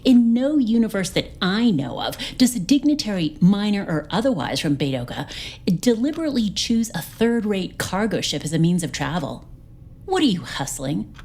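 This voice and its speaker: An actress playing a brash, suspicious space interrogator who theatrically needles and mangles idioms in a comic audio drama. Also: female voice, mid-range voice, big pitch swings, normal speed, a little mellow, husky. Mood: suspicious, needling. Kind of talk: comic science fiction audio drama acting.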